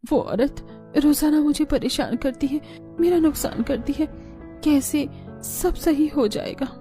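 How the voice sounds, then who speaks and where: A woman voicing a poor, downhearted mother who sells street snacks in a cartoon, with plaintive, drawn-out delivery. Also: sits high, mellow, faint music behind. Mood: tearful, worried.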